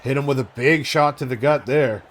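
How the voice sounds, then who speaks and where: A man giving play-by-play commentary on video game fights, calling each strike quickly and casually. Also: medium pitch. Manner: rapid blow-by-blow calling.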